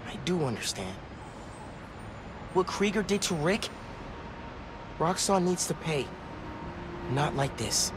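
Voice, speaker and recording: Sincere American teen hero, highish, very expressive pitch, conflicted, game drama. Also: male voice, slow pace, long pauses, noisy background. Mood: torn, insistent. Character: earnest, conflicted teenage boy superhero. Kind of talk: video game cutscene acting.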